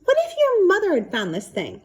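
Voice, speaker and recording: A woman's voice: extremely high pitch, wildly swooping pitch, pitched above the performer's own voice, full character voice. A woman voicing a theatrical, blustering, teasing father in a storybook, drawing words out with exaggerated emphasis. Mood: exasperated. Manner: exaggerated, drawn-out, emphatic delivery.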